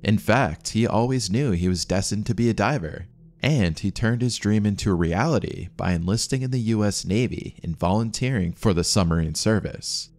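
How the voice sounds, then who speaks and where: Deep guy narrating a documentary, steady and measured, low voice.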